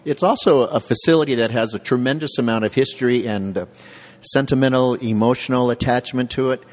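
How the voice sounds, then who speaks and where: A man's voice: mid-range voice, fairly quick, long reverb tail. A man from Southern Colorado talking casually and earnestly in an interview, often restarting words, about a community center where he works.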